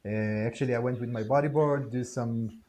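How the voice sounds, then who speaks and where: A young adult man from Israel, a podcast guest in casual chat, relaxed and halting, often repeating "yeah, yeah, yeah". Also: medium pitch, slight background noise.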